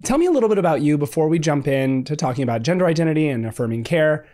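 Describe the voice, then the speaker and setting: A man hosting a podcast, reading a scripted introduction and asking interview questions in a measured way. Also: fairly high pitch, lively intonation, warm tone. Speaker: podcast host.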